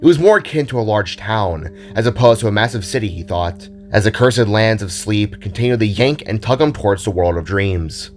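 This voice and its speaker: A man's voice: fairly low pitch, animated, brisk, few pauses, soft background music. A man narrating a scripted adventure story in a dramatic style.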